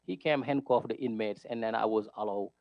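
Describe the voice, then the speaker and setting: A man from Senegal with a Senegalese French accent, a native Wolof speaker, answering interview questions in halting, earnest English. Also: fairly low pitch, gravelly, no echo, dull audio. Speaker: guest, prison nurse.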